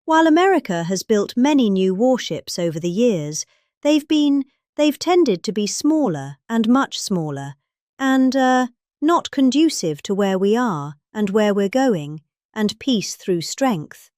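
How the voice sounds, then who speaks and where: A woman's voice: medium pitch, sing-song, unhurried, a little mellow, no echo, clean studio sound. A middle-aged British woman giving a theatrical parody reading of a speech, with exaggerated, emphatic delivery. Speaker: performer reading a political speech.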